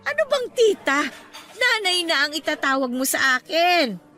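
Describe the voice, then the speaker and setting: An actress playing a fond, bantering older mother in a radio drama, her voice lowered to sound aged, with soft music underneath. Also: big pitch swings, moderate pace, full character voice. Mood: delighted. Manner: fond, bantering, laughs a lot. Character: chatty, teasing older mother of the groom.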